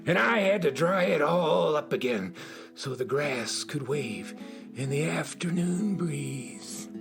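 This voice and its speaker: A woman voicing a grumpy, scolding old male sun in a children's radio play, creaky and indignant. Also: deep voice, animated, slow pace, over music, heavily acted.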